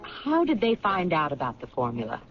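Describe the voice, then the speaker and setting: An actress playing a thoughtful, intuitive police lieutenant who questions in a measured way in a 1970s radio drama. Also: female voice, low-pitched, huge pitch swings, faint music behind, heavily acted. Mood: firm. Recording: dull lo-fi radio broadcast with faint hiss.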